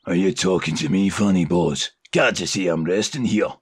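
A man's voice: deep voice, normal speed, husky. A man voicing a surly, foul-mouthed old male dock shunting engine who makes crude threats. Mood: surly threatening. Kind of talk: fan audio drama acting.

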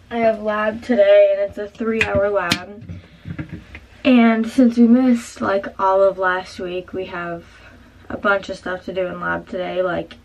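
A woman's voice: mid-range voice, gravelly, poor audio. A young woman with a stuffy nose, chatting casually to camera in her apartment, with lots of fillers. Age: young adult. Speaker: vlogger. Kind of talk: casual vlog chat. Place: small apartment, indoors.

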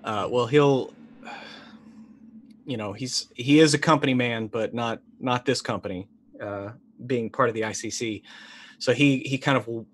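A man's voice: mid-range voice, moderate pace. A man in his forties with a mid-range voice chats as a player in a tabletop game. His phrasing is hesitant and trails off. A little noise sits in the background of the call. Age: 40s. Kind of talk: casual game talk. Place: indoors on a video call.

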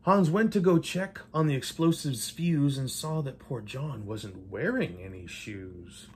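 An older man gives a theatrical read-aloud of a rhyming picture book. His delivery is measured, with playful emphasis on the verse. He is recorded at home, with clear noise in the room. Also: mid-range voice, animated. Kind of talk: rhymed storybook read-aloud. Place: indoors in a home living room.

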